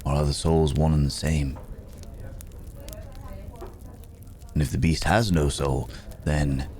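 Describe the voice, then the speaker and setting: A man's voice: extremely low pitch, little pitch movement, mellow. A man playing a weary, philosophical werewolf on the run, musing hesitantly in an intimate ASMR roleplay. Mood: brooding, musing. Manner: musing, hesitant, speaking in unhurried broken phrases. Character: weary, philosophical male werewolf, a hunted wandering companion.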